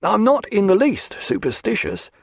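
A man performs a superstitious old-time sailor for an audiobook. He is dogged and foreboding but respectful, speaking in emphatic nautical phrases. His voice is raised and very animated, in a dry recording. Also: sits high, normal speed, creaky, husky, dry recording, voice pushed up, full character voice. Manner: emphatic, old-fashioned nautical speech with proverbs. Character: dogged, superstitious, gloomy older sailor, deferential to his captain.

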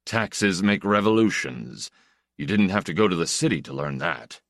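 A man's voice: low-pitched, very animated, measured pace, no echo, studio quality, exaggerated voice. A man reading the part of a grave, diffident, watchful older brother in a story, with an ironic edge. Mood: bitter, ironic.

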